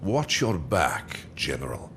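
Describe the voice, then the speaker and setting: An actor playing a menacing, silky, commanding warlord in a video game cutscene, theatrical and condescending in a deliberate delivery. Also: male voice, extremely low pitch, wildly swooping pitch, background noise, full character voice. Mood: veiled threat.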